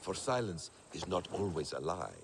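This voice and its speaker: An actor playing a calm, cunning assassin mentor in a video game, speaking in measured, formal aphorisms. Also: male voice, sits low, creaky, heavily acted. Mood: instructive, calm. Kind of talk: dramatic video game dialogue. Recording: game audio with loud ambient noise. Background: loud outdoor ambience, horse hooves.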